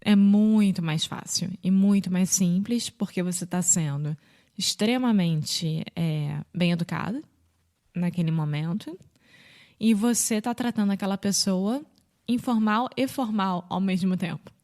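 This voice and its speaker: A woman with a Rio de Janeiro accent explains everyday phrases in a relaxed teaching chat. Her speaking voice sits mid-range. She keeps acting out short greetings, with sudden bright leaps in pitch. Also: unhurried. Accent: Rio de Janeiro Portuguese. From Brazil. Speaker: podcast co-host teaching Portuguese.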